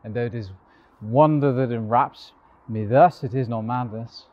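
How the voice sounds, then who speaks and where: A man performing a bewildered, exhilarated young Shakespearean gentleman in love, in a declamatory classical stage monologue. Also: medium pitch, muffled recording, close to an everyday voice. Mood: awed, bewildered. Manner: declamatory, deliberate, marveling. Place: outdoors, park bench. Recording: noisy outdoor recording with passing vehicles.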